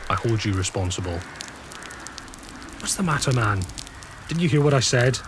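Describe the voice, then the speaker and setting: An actor playing a brusque young army officer. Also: male voice, mid-range voice, moderate pace, close to an everyday voice. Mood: curt, irritated. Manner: brusque, commanding. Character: gruff young male Scottish army officer. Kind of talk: radio drama acting. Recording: reverberant with steady background noise.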